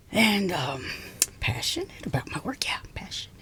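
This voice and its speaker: A woman playing an exhausted, prickly scientist with a soft side in an intimate ASMR roleplay, halting and teasing, often slipping into a whisper. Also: medium pitch, slightly rough, heavily acted. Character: exhausted, prickly, passionate female scientist, secretly softening toward intruder. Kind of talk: ASMR roleplay, intimate one-sided dialogue.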